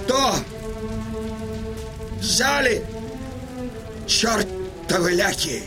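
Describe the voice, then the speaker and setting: An actor playing a proud, defiant old Cossack chieftain in a radio drama, impassioned and declamatory. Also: male voice, high-pitched, animated, heavily acted. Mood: defiant, prophetic. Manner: declamatory, impassioned, drawn-out delivery. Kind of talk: Russian radio drama acting. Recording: music behind the voice.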